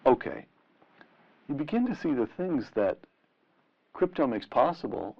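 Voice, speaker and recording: A middle-aged man interviewing in a deliberate, rhetorical and emphatic way, on a lo-fi vintage radio style recording. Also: medium pitch, big pitch swings, creaky, husky. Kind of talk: long-form interview questioning. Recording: dull, lo-fi vintage radio sound.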